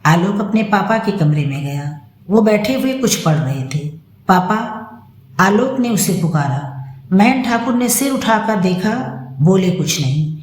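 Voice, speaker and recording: A woman narrating a moral story as a storyteller, with measured, deliberate phrasing. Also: mid-range voice, animated, darkish tone. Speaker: storyteller reading own moral stories. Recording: roomy, echoing room sound.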